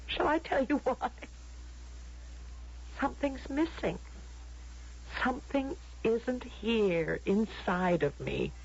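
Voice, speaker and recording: An actress in an old radio drama playing an unhappy, defiant, lovesick young woman, plaintive and halting. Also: medium pitch, creaky, breathy edge, exaggerated voice. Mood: aching, sorrowful. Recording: dull lo-fi vintage radio drama recording.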